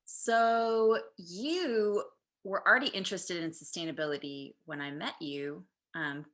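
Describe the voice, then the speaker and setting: Bright-voiced, enthusiastic woman, lowish pitch. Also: measured pace. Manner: enthusiastic, slangy. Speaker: interview host. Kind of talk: casual chat.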